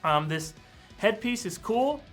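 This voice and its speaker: A man's voice: high voice, expressive, slow pace, fairly bright tone, faint music behind. A man giving a casual, candid product review in a mildly grumbling manner. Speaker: toy reviewer. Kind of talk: casual unboxing and review talk.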